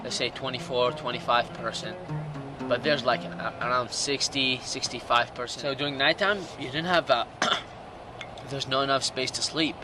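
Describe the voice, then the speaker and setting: A man's voice: medium pitch, steady tone. Young Middle Eastern-accented man over music. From Iraq, Jordan and Syria. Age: late teens. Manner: halting, non-native English phrasing. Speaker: documentary interview subject. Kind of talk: personal testimony.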